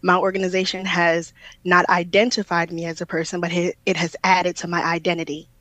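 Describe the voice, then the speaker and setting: Flat-voiced woman panelist, dry sound. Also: fairly low pitch, a little fast, no echo. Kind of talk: panel discussion.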